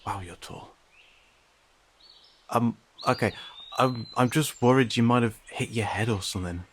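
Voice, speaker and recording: A man playing a friendly young cat-person in an audio roleplay, talking informally and hesitantly to a silent listener, with gentle questions and dry teasing. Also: mid-range voice, vocal fry, slight background noise. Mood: concerned.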